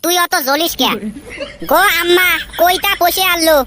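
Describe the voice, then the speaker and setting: Man voicing a very high, cheeky young cartoon son. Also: fairly even intonation, normal speed, heavy background noise. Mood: cheeky, defiant. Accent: northern Bengal (Cooch Behar region). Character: cheeky young son, pleading and scheming for money. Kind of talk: comedy cartoon voice acting in rural dialect.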